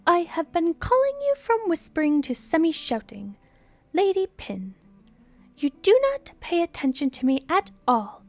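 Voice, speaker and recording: A woman voicing a pouty, pushy young female student in a story, with a loud, insistent, complaining manner. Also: very high-pitched, sing-song, measured pace, faint music behind, pitched above the performer's own voice, heavily acted. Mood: indignant complaint.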